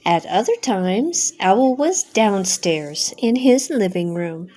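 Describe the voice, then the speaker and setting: A middle-aged or older woman, a grandmother, narrating a children's storybook aloud with simple, expressive phrasing. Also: medium pitch, takes it slowly. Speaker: grandmother reading a bedtime story.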